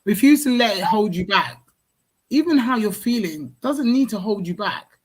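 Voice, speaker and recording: A woman gives advice in a live online workshop over a video call. Her voice is husky and mid-pitched, and she talks in a direct, coaching way with plenty of "you know". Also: animated, normal speed, a little mellow. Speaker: workshop co-host.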